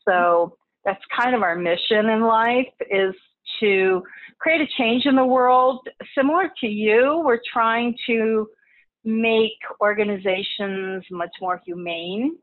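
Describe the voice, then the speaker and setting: A woman's voice: on the high side, slow. Texas woman calling in to a podcast, talks easy and loose, sounds like a phone line. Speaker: podcast guest, institute founder. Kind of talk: casual interview talk.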